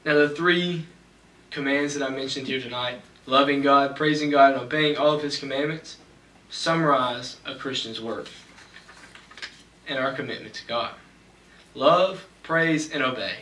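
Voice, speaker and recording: A young man preaching a sermon from a church pulpit, reading scripture slowly and deliberately. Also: mid-range voice, bright, forceful tone. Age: young adult. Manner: measured, slows for scripture reading. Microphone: pulpit mic, far camera. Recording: echoey church from far off.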